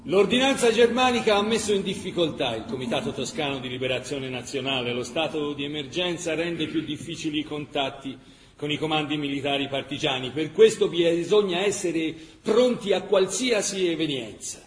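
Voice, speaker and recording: An actor playing a solemn chronicler, formally narrating wartime events for radio theatre. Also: male voice, on the high side, normal speed, close to an everyday voice. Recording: long reverb, faint background noise.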